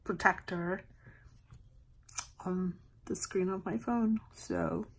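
A woman's voice: on the low side, steady tone, slow pace, gravelly, slight background noise. A woman in her thirties chatting casually to camera in a personal vlog. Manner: switches between English and Spanish. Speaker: vlogger. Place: parked or moving car, small home rooms.

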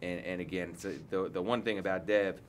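Low-voiced coach, candid and rambling. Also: male voice, fairly even intonation, brisk, a little hiss or hum. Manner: candid, rambling explanations with many restarts. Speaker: head basketball coach. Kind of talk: post-game press conference answers. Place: indoor press room, seated at a table.

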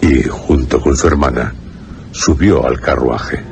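A man with a Castilian accent narrating a radio horror story in a grave, deliberate way with dramatic pauses. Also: extremely low pitch, gravelly, loud music behind the voice. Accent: Castilian Spanish.

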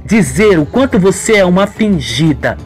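A woman's voice: fairly low pitch, expressive, moderate pace, darkish tone, faint music behind, pitched above the performer's own voice, lightly acted. A woman voicing a suspicious young woman in a radio soap, pointed and confrontational. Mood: accusing. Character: accusing, suspicious young woman, former friend of villain.

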